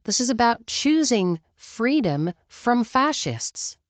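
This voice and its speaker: A woman's voice: fairly high pitch, very animated, slow pace, dry recording. A woman hosting opinionated political commentary, speaking to viewers in an earnest, emphatic way. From outside the United States.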